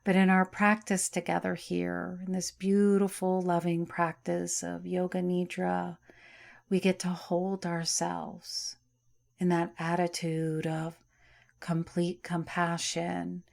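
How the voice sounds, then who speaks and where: A woman leads a yoga nidra relaxation in a soft, soothing voice. She speaks very slowly, with long pauses between phrases. Her voice sits a little low for a woman. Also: monotone, vocal fry. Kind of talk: guided relaxation meditation.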